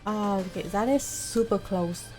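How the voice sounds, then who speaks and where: A woman's voice: mid-range voice, normal speed, a little mellow. A woman game streamer giving casual live reactions as she plays. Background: game music.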